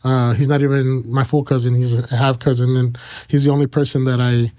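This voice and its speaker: Male interview guest, thirties, American accent. Smooth voice, little pitch movement, halting casual storytelling with many filler pauses. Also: flat delivery, warm tone. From Kansas and Mexico. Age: 30s. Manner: halting, frequent "you know" pauses.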